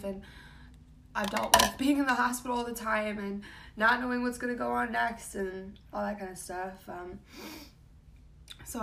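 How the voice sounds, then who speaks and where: Young woman, raspy gravelly voice, rambling vlog talk, faint room noise. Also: fairly bright tone. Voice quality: raspy, low voice. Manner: casual, rambling, frequent ums and likes. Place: home room.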